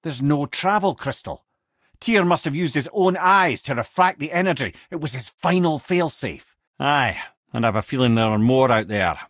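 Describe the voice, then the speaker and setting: A worldly, learned old Scotsman from a fantasy video game, close and dry on the recording. His voice is husky and mid-pitched, swinging expressively as he tells old tales. The delivery is eloquent and theatrical, with wry wit throughout. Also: lively intonation, normal speed, a bit bright, no echo. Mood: earnest counsel. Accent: Scottish. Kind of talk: video game character dialogue and lore storytelling.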